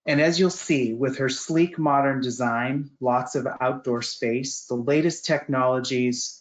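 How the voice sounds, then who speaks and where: A man's voice: medium pitch, muffled recording. A man giving a prepared promotional travel presentation in a polished, salesy way.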